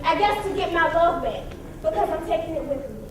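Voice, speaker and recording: A woman's voice: very high-pitched, animated, fairly quick. An actress playing a hurt, exasperated young woman ending a romance, speaking emphatically and pleadingly in a theatrical stage style. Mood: hurt, firm. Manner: emphatic, pleading, repeats words. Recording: distant, echoey hall recording with audience noise.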